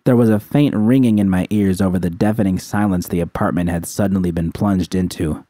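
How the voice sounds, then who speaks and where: Mellow, lowish-voiced man narrating fiction. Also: steady tone, fairly quick, no echo, clean studio sound. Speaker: audiobook narrator. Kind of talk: scripted first-person dramatic fiction narration.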